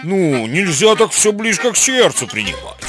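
A man's voice: sits high, big pitch swings, moderate pace. A man voicing a genteel, jovial old male raven in a cartoon, grandiloquent and playful. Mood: gleeful. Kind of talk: animated cartoon acting. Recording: music behind the voice.

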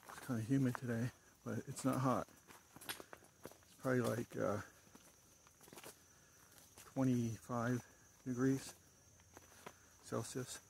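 A man casually narrating in short, unhurried, halting phrases as he walks outdoors, filming on a handheld camera. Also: medium pitch, little pitch movement, gravelly, a little mellow. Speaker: vlogger. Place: outdoors on a forest hiking trail. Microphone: handheld camera held by the speaker. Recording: outdoor handheld recording with ambient noise.

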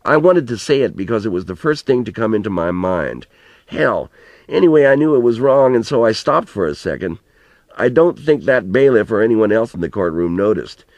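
A man's voice: fairly low pitch, big pitch swings, moderate pace, darkish tone, dry recording, low-quality recording. A man reading a storybook as an elderly farmer grandfather, plain-spoken and folksy, telling rambling, earnest reminiscences with some mild swearing. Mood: reflective. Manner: colloquial rural storytelling, plain-spoken, mildly profane.

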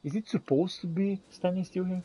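A man's voice: sits high, fairly even intonation, brisk, mellow, slightly rough, rough recording. A man role-playing aloud as he plays a fantasy game, mixing archaic theatrical phrases with casual asides. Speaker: gameplay streamer role-playing. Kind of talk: in-character role-play commentary on a fantasy game.

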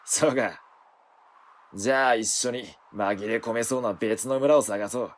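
A man's voice: mid-range voice, steady tone, measured pace, natural voice. A man playing a cool, blunt killer in an audio drama, with a casual, curt delivery. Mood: cool, matter-of-fact. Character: cool, blunt male werewolf, a killer's partner. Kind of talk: Japanese audio drama acting.

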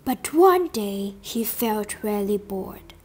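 A woman narrating a fable in a student puppet show, in slow, clear storybook style. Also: medium pitch, expressive, heavy background noise.

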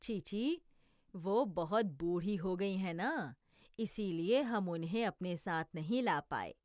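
A woman voicing a gentle, homesick village mother who explains things patiently. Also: lowish voice, animated, takes it slowly, no room sound, studio quality. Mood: wistful. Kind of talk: educational cartoon voice acting.

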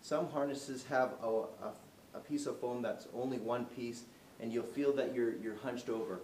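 A man in his forties gives a relaxed, off-the-cuff walkthrough of gear, with plenty of fillers and restarts as he moves about. He sounds some distance from the camera, and the showroom has a noticeably roomy echo with a faint background hiss. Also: mid-range voice, moderate pace. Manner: moving around while demonstrating. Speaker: product demonstrator. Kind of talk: casual product walkthrough. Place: indoor showroom, medium-sized room. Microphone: camera mic from a distance.

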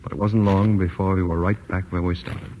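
A quick, fairly low-pitched man's voice of an actor plays a resentful kept husband in a 1940s radio drama. He is earnest and pleading, with some hiss from the old recording. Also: level tone, warm tone, natural voice, rough recording. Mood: troubled. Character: frustrated, kept husband of a rich wife.

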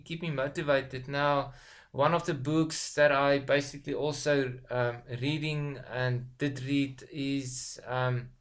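A middle-aged man whose first language is Afrikaans talks to his webcam in a small room at home, his English carrying a clear South African Afrikaans accent. He speaks in a steady middle pitch, pausing on ums and repeating words as he builds each thought. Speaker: online business vlogger. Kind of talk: casual motivational talk to camera. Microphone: webcam at desk distance.

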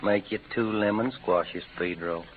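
A man's voice: on the low side, steady tone, measured pace, natural voice. An actor as a hardened cowboy gunman with a Western American drawl. He is sparing with words and mannerly, speaking in plain folksy grammar. It is an old radio drama, narrow in sound with a faint layer of noise. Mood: gentle, courteous. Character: leathery gunman and card player, courteous, laconic cowboy. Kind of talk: 1940s-50s radio western acting.

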